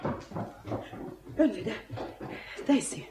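A man's voice: measured pace, warm tone, reverberant, close to an everyday voice. A male actor playing a nervous, wary innkeeper, grumbling and placating, in a German radio drama. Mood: placating, scared.

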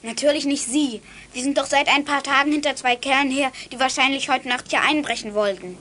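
A woman's voice: high voice, brisk, pushed and bright, a little hiss or hum. A female performer playing an alert, plucky child detective with an urgent manner in a youth radio drama. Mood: explaining. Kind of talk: 1980s German youth radio drama acting.

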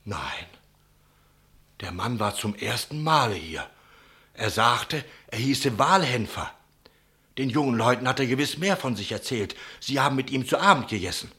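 A man's voice: medium pitch, expressive, normal speed, bright, forceful tone. An actor playing an anxious, talkative innkeeper in a German radio play, telling his account dramatically and deferentially. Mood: shaken, recounting. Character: anxious, talkative male innkeeper giving testimony.

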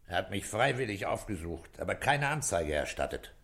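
Low-voiced, brooding police inspector. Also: male voice, sing-song, fairly bright tone, heavily acted. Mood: pensive, troubled. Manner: terse, commanding, deliberate. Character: brooding, dutiful male police inspector, gruff but caring. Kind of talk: 1960s German radio play acting.

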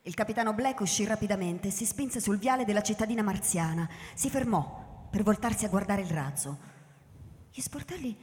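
A woman narrating a radio drama in a theatrical, scripted style that swells and hushes. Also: medium pitch, lively intonation, fairly quick, slight background noise. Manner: theatrical, swelling and hushing with the scene. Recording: reverberant hall, faint music behind.

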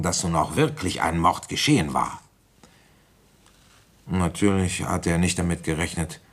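An actor playing a weary, shrewd provincial policeman recounting an old case in a radio play, deliberate, conversational and wry. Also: low voice, a bit bright, breathy edge, heavily acted. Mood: calm, knowing. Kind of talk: German radio play, first-person narration and dialogue.

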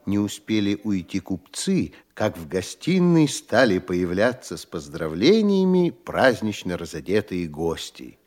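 A man's voice: low voice, very animated, a little slow, few pauses, a little mellow, heavily acted. A man narrating a classic comedy audio drama as a composed storyteller.

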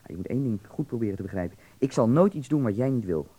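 A man's voice: mid-range voice, animated, very fast, mellow, lightly acted. An actor playing a worldly young man from Berlin in a radio drama, candid and insistent yet reassuring, explaining patiently and earnestly.

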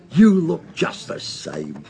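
Radio drama: jovial English copper, gravelly, rather high for a man, lively swoops, chummy slang. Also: heavily acted. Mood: amused. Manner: matey, colloquial.

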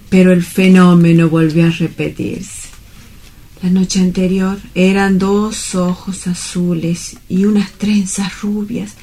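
A woman's voice: mid-range voice, dark tone, slightly rough. A woman playing a dreamy, lovelorn young male bank clerk in a radio drama, with wistful, poetic, earnest delivery. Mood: rapturous. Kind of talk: dramatic radio-play monologue.

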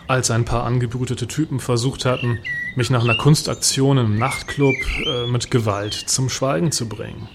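A man playing a smug, provocative performance artist telling his story wryly and in measured phrases in a satirical radio play. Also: medium pitch, noisy background, natural voice. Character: provocative male performance artist, smugly recounting his political awakening. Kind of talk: first-person satirical radio play monologue.

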